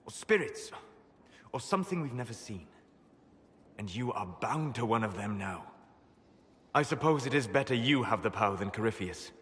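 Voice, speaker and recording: An actor playing a solemn, melancholy elven mage in a video game, speaking in a measured, formal, earnest way. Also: male voice, fairly low pitch, animated, a bit bright. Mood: urgent, grave. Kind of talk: dramatic video game dialogue. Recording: noisy, long reverberant hall.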